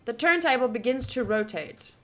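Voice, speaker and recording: A woman doing casual playthrough commentary of a visual novel, reading game text aloud and thinking out loud with excitable energy. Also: fairly high pitch, normal speed, over music. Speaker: streamer playing a visual novel.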